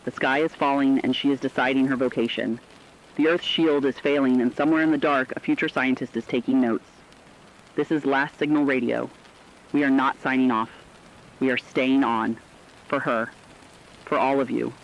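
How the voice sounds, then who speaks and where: A man playing the steadfast host of a last-ditch radio station, reading a solemn, measured, poetic broadcast script. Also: medium pitch, steady tone, highs cut off, natural voice. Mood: steadfast, moved.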